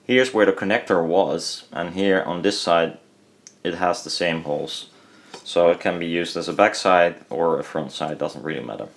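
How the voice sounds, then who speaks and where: Low-pitched Dutch-accented man, rambling. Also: moderate pace, creaky. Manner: hesitant, rambling, self-correcting. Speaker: hobbyist presenter. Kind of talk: casual hands-on product teardown commentary.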